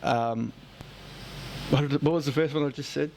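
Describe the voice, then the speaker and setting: A man's voice: mid-range voice, fairly even intonation, moderate pace, creaky, a little mellow, a little hiss or hum, low-quality recording. A man with an Australian accent chatting casually as a podcast host, blunt and joking as he rambles along. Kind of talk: casual chat.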